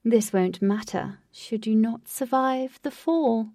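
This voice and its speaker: A woman's voice: a little high, dark tone, no echo, far from the mic. A woman reader puts on a crazed male showman from a sinister storybook ride. He taunts in a raised, theatrical voice and drags out his mocking phrases. The sound is dry and slightly off the microphone. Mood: gleefully sinister. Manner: theatrical, drawn-out mocking delivery.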